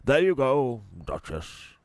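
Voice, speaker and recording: Man acting a genteel old tramp, swooping pitch. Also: big pitch swings, slow, a little mellow. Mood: polite. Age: older. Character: genteel old male tramp, courteous, quaintly well-spoken.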